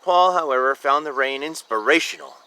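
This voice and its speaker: A man reads a picture book aloud for children in a bright, fairly high voice. His delivery is expressive and informal, drawing out key words. He drops in casual comments on the pictures as he goes. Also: brisk. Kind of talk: animated storybook read-aloud with casual asides.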